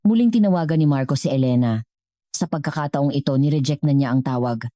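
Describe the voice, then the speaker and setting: A woman reading scripted dramatic story narration in a measured way, as the narrator of an audio drama. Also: a bass voice, warm tone, dry recording, clean studio sound.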